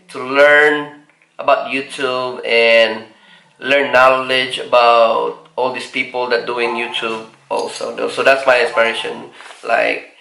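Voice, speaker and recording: A forty-year-old man from the Philippines answering viewer questions in a casual vlog, halting with many fillers and restarts. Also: medium pitch, gravelly, pushed and bright, roomy sound, poor audio. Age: 40s. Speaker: car vlogger.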